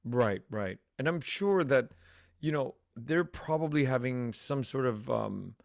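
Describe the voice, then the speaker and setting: Man, gravelly voice, dry close sound, casual podcast host. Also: medium pitch, measured pace, no room sound. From United States. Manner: relaxed, friendly, many fillers and restarts. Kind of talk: casual interview chat.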